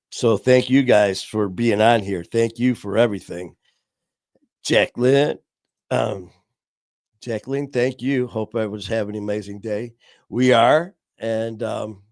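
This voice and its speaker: A man's voice: on the low side, creaky, darkish tone, no echo, clean studio sound. A man hosting his live online show, chatting casually and gratefully, rambling with lots of fillers. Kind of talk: casual live-stream chat.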